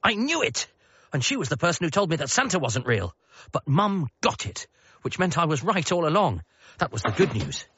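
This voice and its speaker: A man's voice: a little high, big pitch swings, normal speed, a bit bright, dry recording, heavily acted. An actor playing an earnest, wry bereaved son who narrates his own story in a radio comedy drama. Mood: wry, excited. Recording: muffled radio recording with clear noise.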